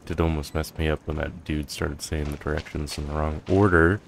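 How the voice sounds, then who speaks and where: A man's voice: rumbling low, little pitch movement, fairly quick, creaky, slight background noise. A man giving casual let's-play commentary as a streamer, offhand and rambling to himself. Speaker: gameplay streamer.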